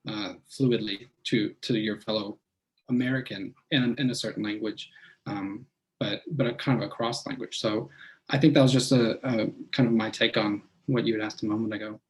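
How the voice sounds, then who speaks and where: A man's voice: lowish voice, fairly even intonation, low-quality recording. A man from Texas, a participant speaking casually and thoughtfully in an online discussion. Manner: thoughtful, measured, with fillers.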